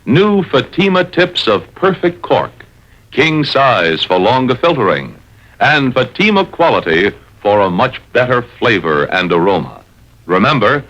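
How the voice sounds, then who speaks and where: Unhurried, smooth-selling 1950s ad voice. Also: male voice, mid-range voice, fairly bright tone, low-quality recording. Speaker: commercial announcer. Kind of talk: 1950s radio commercial.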